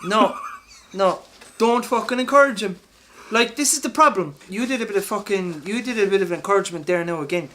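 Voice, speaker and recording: A man's voice: high voice, fairly quick, sharp tone, a little hiss or hum. An Irish man co-hosting a comedy podcast, bantering casually in an exasperated, sweary grumble. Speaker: podcast co-host.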